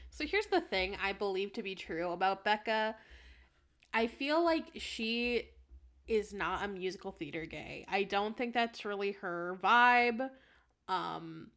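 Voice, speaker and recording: A woman's voice: medium pitch, fairly bright tone. A woman chatting casually and comedically as a podcast panelist, reasoning aloud in an informal way.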